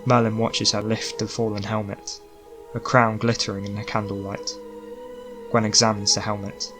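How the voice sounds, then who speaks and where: Male audiobook narrator, lowish pitch, forward bright tone, faint music underneath. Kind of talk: scripted narration of fan fiction.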